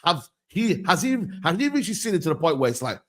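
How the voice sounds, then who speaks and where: A man's voice: fairly high pitch, very animated, fast talker. A man hosting a casual football debate, slangy and insistent, often repeating himself. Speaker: host of a football talk stream.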